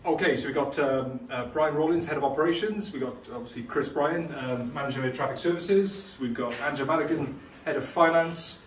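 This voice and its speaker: A middle-aged man giving halting, matter-of-fact interview answers. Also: on the high side. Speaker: airport manager. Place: office building hallway. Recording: echoey room, slightly distant, faint noise.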